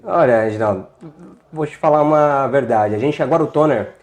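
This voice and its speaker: A man, speaking casually and haltingly as an interview guest. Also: medium pitch, a little mellow. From São Paulo, Brazil. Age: 30s. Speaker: interview guest, small business owner.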